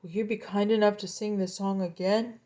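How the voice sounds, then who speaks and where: A woman's voice: mid-range voice, steady tone, moderate pace, dark tone, lightly acted. A woman voicing an eager, forgetful male coyote in a children's story, pleading and grumbling by turns.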